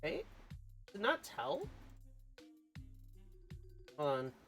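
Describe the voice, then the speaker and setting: A streamer with a medium-pitched voice chats casually while playing a game. The delivery is giggly, rambling and easily flustered, with game music playing faintly behind. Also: huge pitch swings, slow, a bit bright. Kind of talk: casual live-stream commentary on a video game.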